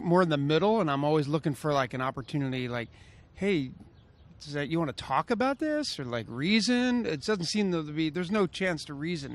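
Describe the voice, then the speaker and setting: A middle-aged man from Philadelphia, rambling and getting animated in a street interview. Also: fairly high pitch. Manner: rambling, animated when riled. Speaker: passerby interviewee. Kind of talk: casual street interview answers. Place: outdoors on a city street.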